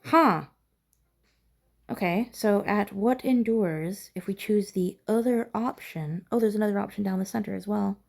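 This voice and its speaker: A woman's voice: mid-range voice, a little slow, gravelly, darkish tone. A woman giving casual gameplay commentary, thinking aloud to herself in a curious, musing way. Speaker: gamer narrating own playthrough.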